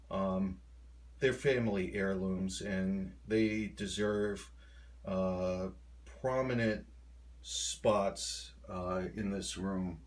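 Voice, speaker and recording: Slow-talking middle-aged man. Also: lowish voice. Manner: halting, unhurried, rambling. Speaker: hobbyist showing his radio collection. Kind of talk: casual home video tour. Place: home kitchen.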